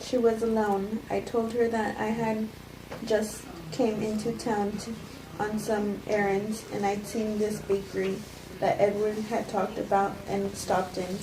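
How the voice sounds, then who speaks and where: Female, self-justifying poisoner confessing calmly, read by a woman; halting cold read, distant mic in an echoey, noisy room. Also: mid-range voice, natural voice. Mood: matter-of-fact. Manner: halting, stumbling amateur reading. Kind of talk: cold table read of a script.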